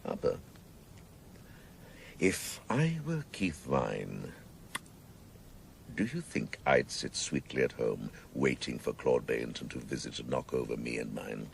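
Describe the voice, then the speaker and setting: Vengeful senior police chief for radio drama: gravelly, grandiose, with wide dramatic swings. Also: male voice, rumbling low, very animated, takes it slowly, long pauses, slight background noise, heavily acted. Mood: musing, menacing. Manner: sardonic, grandiose, savouring his words.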